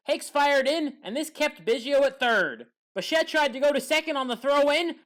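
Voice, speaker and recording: Animated high-voiced woman sports commentator. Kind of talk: scripted highlight play-by-play narration.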